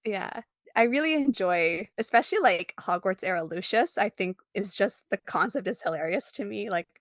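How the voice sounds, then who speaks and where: A woman speaking as an interview guest, an artist chatting casually about her own work in an animated, informal, giggly way. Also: mid-range voice, normal speed, dry recording, studio quality.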